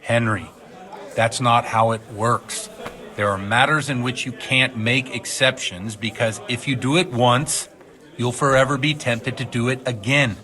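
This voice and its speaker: An actor playing a worldly, kindly but principled middle-aged village priest in a video game, speaking in a measured, fatherly way. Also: medium pitch, steady tone. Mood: earnest, reassuring. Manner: measured, fatherly, gently philosophical. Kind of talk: video game cutscene acting.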